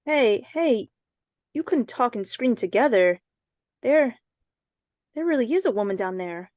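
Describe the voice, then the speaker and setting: An excitable little schoolboy acted by a woman in an old-time radio thriller. The voice is high and swings widely as he talks in folksy slang, eager one moment and skeptical the next. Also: a little slow, no room sound, clean studio sound. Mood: excited, urgent. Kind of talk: old-time radio suspense drama, performed live.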